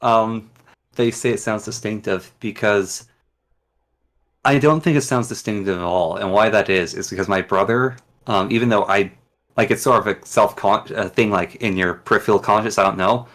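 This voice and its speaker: A man hosting a casual conversation, talking in a relaxed, reflective way. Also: fairly low pitch, normal speed. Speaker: podcast host.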